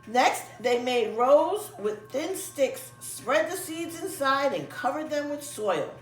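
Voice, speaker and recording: Female storybook reader, animated delivery for children, roomy sound set back from the mic. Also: high-pitched, takes it slowly. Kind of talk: expressive children's story read-aloud. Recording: echoey room, distant mic, music behind.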